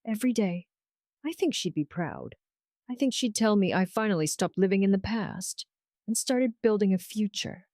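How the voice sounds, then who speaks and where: A woman voicing a gentle, devoted man in love in an audio romance story, tender and sincere. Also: medium pitch, animated, moderate pace, dry recording, studio quality, close to an everyday voice. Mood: reassuring.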